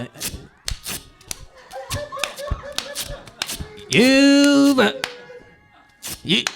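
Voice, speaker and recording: A man playing the detective's gruff older father, drawling and fatherly with sudden loud cheers on a live comedy stage. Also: on the high side, heavily acted. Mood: tearful. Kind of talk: live comedy radio-play acting.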